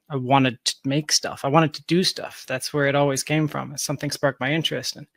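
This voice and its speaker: A man's voice: medium pitch, creaky, fairly bright tone, dry recording, studio quality. A man in his thirties from Georgia giving reflective, explanatory answers as a guest in a conversational interview. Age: 30s. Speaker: podcast guest, software developer.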